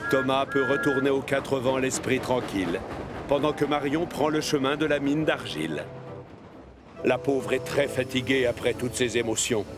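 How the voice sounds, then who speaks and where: A man narrating a children's cartoon in a measured, clearly enunciated storytelling style. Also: mid-range voice, natural voice. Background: music and train sounds.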